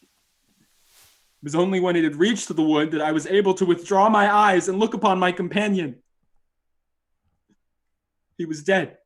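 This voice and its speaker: An actor playing a proud, educated witness in an old time radio style drama, with formal and precise diction. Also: male voice, high-pitched, fairly even intonation, measured pace, long pauses. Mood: grave, haunted. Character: proud, indignant male newspaper witness, educated and testy.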